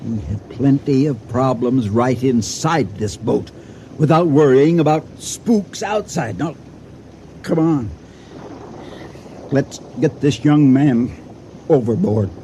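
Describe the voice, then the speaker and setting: An actor playing a domineering, excitable husband in an old-time radio thriller, insistent, emphatic and theatrical. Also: male voice, mid-range voice, slow, pauses often, slight background noise. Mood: firm, reasoning. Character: domineering, excitable husband rationalizing a grim crime.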